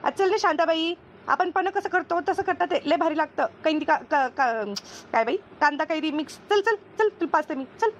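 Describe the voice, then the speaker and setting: A woman's voice: sits high, expressive, normal speed, dull audio, slight background noise. A woman voicing a chummy, grateful village housewife in a cartoon comedy, chatty and homely, with a rural Maharashtrian accent. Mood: hospitable, coaxing. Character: slower-moving village housewife Renu, grateful, chummy best friend.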